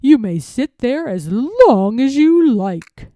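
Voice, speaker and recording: A woman voicing a sly, self-assured gentleman fox from a storybook, speaking in formal, drawn-out, over-polite phrases. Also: high voice, sing-song, no room sound, full character voice. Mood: smooth, obliging. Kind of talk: storybook character voice.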